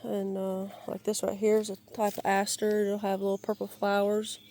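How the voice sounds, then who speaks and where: A woman with a raspy, crackly voice from a lingering cold, giving a halting, rambling tour of her wooded yard, searching for words and restarting often. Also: mid-range voice, monotone, dark tone. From Georgia, US. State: raspy, crackly voice while getting over a cold. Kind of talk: casual rambling walk-and-talk. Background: birds and outdoor ambience.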